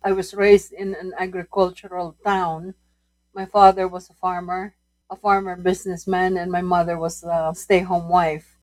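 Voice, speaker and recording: A woman in her sixties, a food writer and guest, recalling her life at a halting pace with frequent pauses and restarts. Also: fairly low pitch, level tone, darkish tone, poor audio. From Philippines. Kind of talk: casual interview.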